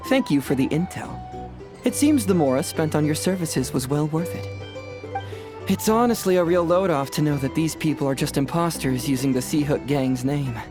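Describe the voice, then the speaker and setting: A man's voice: on the high side, expressive, normal speed, over music, lightly acted. An actor playing a cheerful young male detective in a fantasy game, chatty, theatrical and courteous. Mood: curious, courteous. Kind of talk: animated game voice acting.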